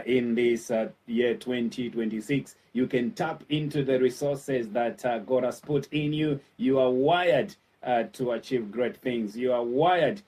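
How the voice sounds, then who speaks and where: Halting Zambian male preacher, distant mic. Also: medium pitch, darkish tone. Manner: hesitant delivery with frequent filled pauses, repeating points for emphasis; earnest, encouraging. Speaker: pastor. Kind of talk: motivational sermon-like talk.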